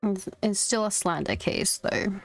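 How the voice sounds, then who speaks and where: A woman's voice: mid-range voice, a little slow. A young woman game streamer chatting casually and reacting in a playful, giggly manner. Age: young adult. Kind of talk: casual livestream chat and reactions. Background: faint game music.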